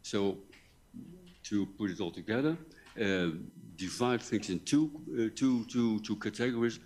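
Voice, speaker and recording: Older man with a low, soft voice. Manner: halting, careful, with repeated words and pauses. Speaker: visiting academic lecturer. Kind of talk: conference lecture in English. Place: university lecture hall. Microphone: table microphone, seated.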